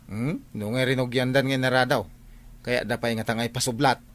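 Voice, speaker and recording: A man playing an animated, exclaiming village character in an Ilocano comedy radio drama, with an Ilocano accent. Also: mid-range voice, moderate pace, close to an everyday voice. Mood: curious.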